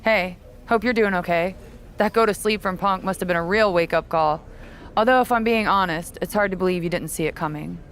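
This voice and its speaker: A woman playing a calculating veteran wrestler in a video game cutscene, talking in a cool, condescending, measured way. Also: fairly low pitch, a little hiss or hum, lightly acted.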